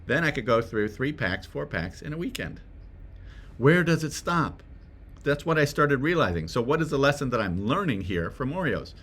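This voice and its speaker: A man's voice: mid-range voice, very animated, normal speed, a bit bright, breathy edge. A man giving a conversational self-help talk to the viewer, often asking rhetorical questions and checking in with "right?". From Swedish family roots. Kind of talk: direct-to-camera motivational talk.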